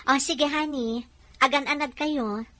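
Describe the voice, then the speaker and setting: Actress as high-voiced Ilocano wife in a drama. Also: female voice, steady tone, measured pace, noisy background. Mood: soft, affectionate. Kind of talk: serial radio-style drama in Ilocano.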